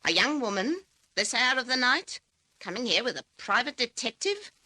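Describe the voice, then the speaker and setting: An actress playing a gruff, indignant older farm woman in an old-time radio drama, brusque and scornful. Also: fairly high pitch, sing-song, normal speed, sharp tone, no room sound, heavily acted. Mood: defensive, scornful.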